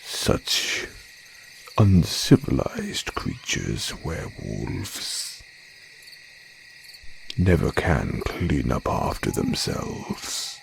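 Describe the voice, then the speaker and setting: A man voicing a haughty, courteous werebear with predatory charm, in an audio roleplay, speaking in a drawn-out, genteel, condescending way. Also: rumbling low, wildly swooping pitch, gravelly, slight background noise, exaggerated voice. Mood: disdainful. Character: smooth, haughty male werebear, courteous yet predatory flirt. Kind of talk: audio roleplay monologue addressed to listener.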